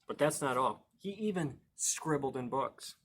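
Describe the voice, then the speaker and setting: Man reading a picture book aloud, playfully expressive. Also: mid-range voice, a little hiss or hum. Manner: playful, expressive, emphatic with drawn-out key words, asks listeners questions. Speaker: library storytime reader and host. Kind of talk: animated picture-book read-aloud for children, with chatty asides.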